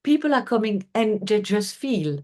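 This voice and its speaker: Woman, French accent, middle-aged, interview guest, earnest, unhurried, medium pitch. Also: big pitch swings, warm tone. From France. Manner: earnest, unhurried, with frequent fillers and restarts. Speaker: guest, healer. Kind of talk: conversational interview.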